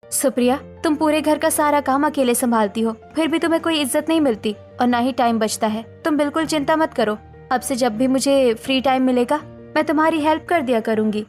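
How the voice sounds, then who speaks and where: A self-assured working daughter-in-law in a cartoon family story played by a woman. She sounds firm and earnest, high in pitch, with soft music behind. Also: a little fast, close to an everyday voice. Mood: sincere, supportive.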